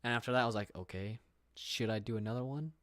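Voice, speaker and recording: A man's voice: medium pitch, quick pace, a bit bright, dry recording, studio quality. A young man from England chatting casually on a livestream from his room, rambling with fillers and reading viewer questions aloud. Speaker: streamer.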